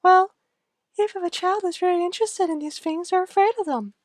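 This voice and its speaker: A woman's voice: very high-pitched, a little fast, no room sound, clean studio sound. A woman playing a prim, obliging and curious doctor's assistant in a radio soap opera. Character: deferential, curious young female doctor's assistant.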